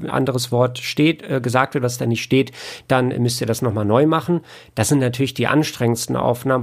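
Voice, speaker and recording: Guy with gravelly creaky voice, mid pitch, explaining stuff casually in an interview, kinda reflective. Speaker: audiobook editor and producer, interview guest.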